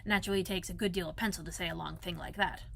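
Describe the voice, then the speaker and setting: A woman's voice: fairly low pitch, fairly even intonation, very fast, gravelly, a bit bright. A woman voicing a fussy, self-important, learned male owl in a storybook, pompous but a little hesitant.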